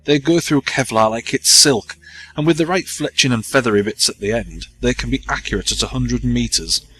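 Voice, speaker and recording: An earnest bishop, husky, bright forceful tone, accusing. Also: male voice, mid-range voice, normal speed, low-quality recording. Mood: explaining. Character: indignant male bishop, grieving and earnest.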